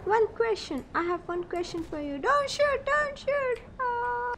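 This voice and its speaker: A woman voicing an excitable alien abductor who poses as a medic in an online role-play game, repetitive and pleading, over voice chat. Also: very high voice, a little slow, crowd noise. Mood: frantic. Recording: clearly noisy game voice chat.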